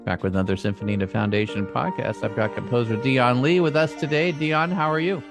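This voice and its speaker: A man hosting a podcast interview, relaxed and encouraging, chatting easily with his guest. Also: medium pitch, fairly quick. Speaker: podcast host. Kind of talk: conversational interview. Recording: dry close sound, faint music behind.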